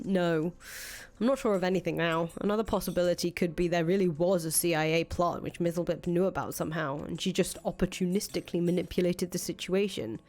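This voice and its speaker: A woman's voice: fairly low pitch, darkish tone, close to an everyday voice. A woman playing a cynical, foul-mouthed ousted rebel leader in a visual novel, thinking aloud in casual, profane speech. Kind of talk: acted reading of visual novel dialogue. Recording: music under the voice.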